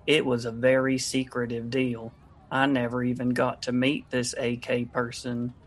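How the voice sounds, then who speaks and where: An earnest monster truck owner played by a man speaks in a flat, mid-pitched voice. He is deadpan and a little formal, in comedy-sketch style. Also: normal speed, faint music behind, lightly acted.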